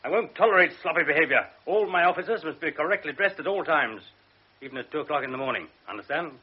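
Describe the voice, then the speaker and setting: Brief: a barking, husky colonel, old radio-drama feel, muffled sound. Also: male voice, on the high side, expressive, quick pace. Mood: stern. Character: gruff blustering army colonel. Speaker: commanding officer. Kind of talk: 1960s radio drama acting. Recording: dull lo-fi radio broadcast.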